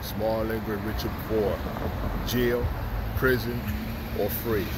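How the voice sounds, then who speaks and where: A man in his fifties vlogging from a downtown street with a phone at arm's length, preaching urgent end-times warnings and often asking "understand?". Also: on the low side. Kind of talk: walking selfie vlog, preaching. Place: outdoors on a downtown city street. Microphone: phone held at arm's length. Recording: loud street noise behind phone audio. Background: traffic and city ambience.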